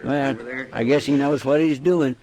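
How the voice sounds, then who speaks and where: A man playing a naive, excitable country deputy in an old-time radio western, earnest and fretful, with a rural American drawl. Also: mid-range voice, moderate pace, a crowd in the background, natural voice. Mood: puzzled. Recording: vintage radio drama recording.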